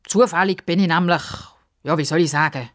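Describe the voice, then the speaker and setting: A woman's voice: fairly low pitch, fairly quick, a bit bright, close to an everyday voice. A woman voicing a kindly, cheeky old man in a children's story, reassuring and folksy in manner. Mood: chipper.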